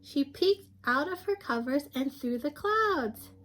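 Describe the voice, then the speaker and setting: A woman's voice: sits high, animated, slow, a bit bright. A young woman reading a storybook aloud to children, speaking simply and expressively and prompting her listeners with questions. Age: young adult.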